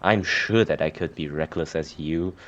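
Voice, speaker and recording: A man's voice: rumbling low, moderate pace, a bit bright, highs cut off. A man giving casual, off-the-cuff commentary on his game, hesitant and thinking aloud as he goes. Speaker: gamer commentating on playthrough.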